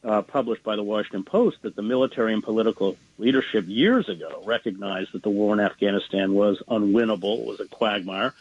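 A man speaking as a journalist guest in a political interview, earnest and halting, with many fillers and restarts. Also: lowish voice, breathy edge, highs cut off.

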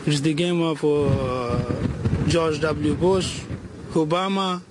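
A man from Afghanistan, a refugee, giving blunt, forceful opinions in an outdoor interview. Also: a little high, steady tone, takes it slowly, noisy background.

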